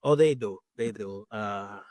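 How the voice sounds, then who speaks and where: Fast-talking Peruvian man, close dry mic. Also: fairly low pitch, darkish tone, no echo. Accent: Peruvian Spanish. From Peru. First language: Spanish. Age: 40s. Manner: talks fast, many restarts and fillers. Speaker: guest dentist and faculty. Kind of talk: casual interview chat.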